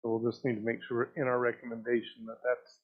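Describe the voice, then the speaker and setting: A man with a gravelly voice questioning staff as a planning commissioner at a public meeting. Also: mid-range voice, monotone, quick pace. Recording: dull lo-fi meeting audio.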